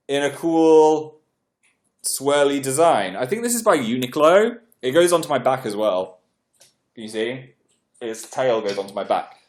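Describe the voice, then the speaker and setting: A young man, congested, chatting casually on a livestream and reading viewer comments aloud. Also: medium pitch. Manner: chatty, self-deprecating, reads comments aloud. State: congested, clearing throat. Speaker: livestream host.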